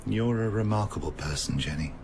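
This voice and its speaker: An actor playing an assured male scientist who speaks in a measured, formal, reassuring way in a radio drama. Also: lowish voice, expressive, vocal fry, a little mellow, slight background noise. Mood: assured, pleased.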